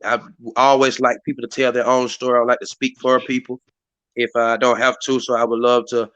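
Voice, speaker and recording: A hoarse man hosts a community live stream in a rambling way, full of fillers and restarts. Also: mid-range voice, little pitch movement, fairly quick, a bit bright, no room sound, highs cut off. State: hoarse, voice partly lost. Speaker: community activist hosting a live stream meeting. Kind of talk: casual hosted talk. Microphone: live stream mic.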